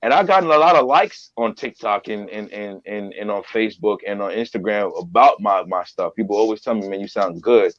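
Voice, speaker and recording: A man's voice: fairly low pitch, a little fast, few pauses, a bit bright. A man, a voiceover artist and business owner from a Trinidadian family, talking in a casual interview and repeating phrases for emphasis. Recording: dull lo-fi sound.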